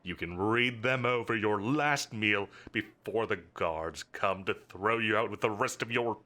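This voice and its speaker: A man's voice: on the low side, unhurried, sharp tone. A man playing a smug, menacing villain boss in an audio roleplay, with a theatrical, mocking, condescending delivery. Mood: sarcastic, threatening. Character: smug, menacing male supervillain boss toying with his enemy.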